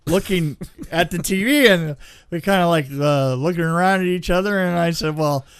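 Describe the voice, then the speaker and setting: A man in casual chat, telling anecdotes in a rambling, animated way. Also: fairly high pitch, moderate pace, clean tone, no room sound, studio quality. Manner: rambling storyteller, acts out sound effects.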